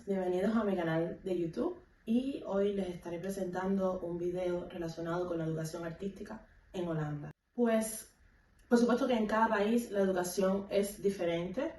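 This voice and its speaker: A woman's voice: fairly low pitch, moderate pace, a little mellow, slight background noise. A woman with a Cuban accent talking casually to viewers as a vlogger, explaining things and giving practical advice in an instructive way. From Cuba. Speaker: vlogger and music teacher. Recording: echoey room, distant microphone.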